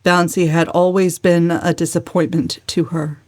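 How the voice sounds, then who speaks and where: A woman's voice: on the low side, flat delivery, moderate pace, no room sound. A woman narrating a novel as a dramatic, scripted literary reading. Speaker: audiobook narrator.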